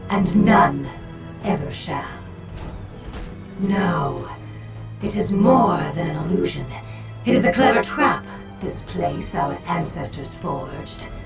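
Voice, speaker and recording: Video game alien seer: proud, cryptic, measured and theatrical, echoey sound over music. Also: female voice, medium pitch. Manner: stately, measured, theatrical delivery. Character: solemn, proud alien Eldar farseer, cryptic war leader. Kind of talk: dramatic video game acting. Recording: echoing, distant, music behind.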